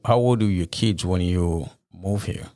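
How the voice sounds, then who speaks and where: A man's voice: deep voice, unhurried, warm tone, no echo, studio quality. A man hosting a podcast, asking questions in a conversational interview style. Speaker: podcast host.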